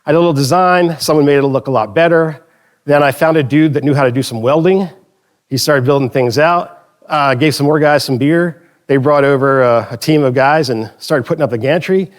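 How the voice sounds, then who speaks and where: A man's voice: fairly high pitch, a little fast. A man from the Philadelphia area giving a conference talk, telling anecdotes with joking asides and often correcting himself mid-sentence. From Philadelphia area, United States. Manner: chatty, joking asides, frequent self-corrections. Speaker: conference speaker.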